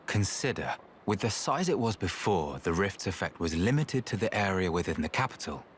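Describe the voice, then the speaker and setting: A man's voice: low voice, very animated, normal speed, slight background noise. A man playing a polite, earnest scholar in a fantasy video game, deferential and formal in his explanations. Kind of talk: video game cutscene acting.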